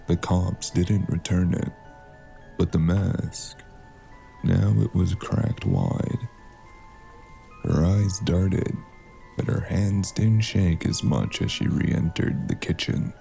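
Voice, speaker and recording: Very gravelly, low, slow male narrator. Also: warm tone. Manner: brooding, measured, dramatic. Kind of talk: scripted noir story narration.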